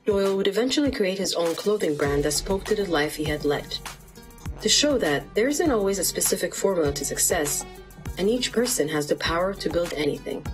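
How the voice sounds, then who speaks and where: A woman narrates in a polished documentary style, her voice sitting a little low. Soft music plays faintly beneath her. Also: a little fast. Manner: even, polished voice-over delivery.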